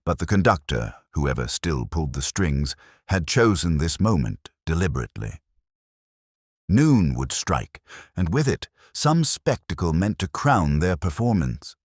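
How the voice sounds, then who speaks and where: A man with an extremely deep voice narrates a scripted mystery story. His pitch moves in lively swings, and the sound is dry and close. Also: husky, dry recording.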